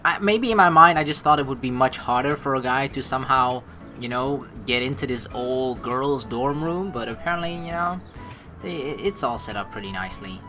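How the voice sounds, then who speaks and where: Low-voiced rambling let's play woman. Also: moderate pace, over music. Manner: casual, rambling, self-correcting. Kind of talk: reading a visual novel aloud with chatty asides.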